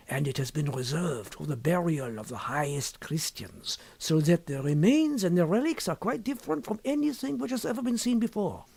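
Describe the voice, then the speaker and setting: A man voicing a sly, impassive, secretive scholar in an audiobook story, with measured, deliberate and formal phrasing. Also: high voice, gravelly, a little mellow, husky, no room sound, voice pushed up, full character voice. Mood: smug, secretive. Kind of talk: audiobook character dialogue.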